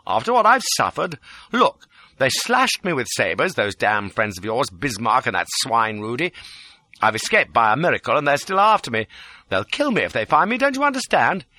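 A man's voice: medium pitch, big pitch swings, moderate pace, bright, forceful tone, no room sound, voice pushed up. A man voicing a desperate, wheedling English rogue who pleads in an overwrought, exclamatory way, as in a dramatic audiobook. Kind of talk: dramatic audiobook character acting.

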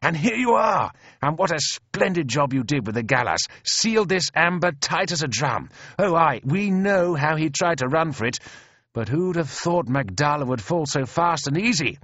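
Giant British officer, voiced by a male narrator: booming, overjoyed, exclamatory storybook character, very animated, close dry recording. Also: normal speed, dry recording, highs cut off. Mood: admiring. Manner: effusive, exclamatory. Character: huge bearded, hearty British officer, jubilant and booming.

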